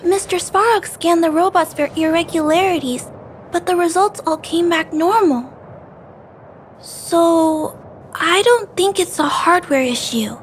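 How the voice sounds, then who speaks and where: A woman voicing a sweet, polite, timid little girl in an anime-style video game, with a gentle, childlike manner. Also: extremely high pitch, unhurried, slight background noise. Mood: worried, polite.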